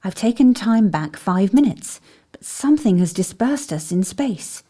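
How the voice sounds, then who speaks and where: A mellow-voiced, mysterious female investigator played by an actress in an audio drama. She is calm and perceptive, thoughtful and questioning, with very varied intonation. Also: medium pitch, a little slow. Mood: uneasy, intent. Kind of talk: scripted audio drama acting.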